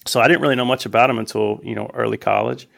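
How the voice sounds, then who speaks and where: A man chatting as a podcast guest in a relaxed, casual way with frequent fillers. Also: medium pitch, very fast, creaky. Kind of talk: casual interview chat.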